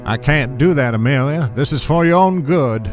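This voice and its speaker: A controlling, secretive husband performed by a man in an audio drama. His mid-pitched voice is measured and soothing, with grave menace. Music plays clearly underneath. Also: lively intonation, close to an everyday voice. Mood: calm menace.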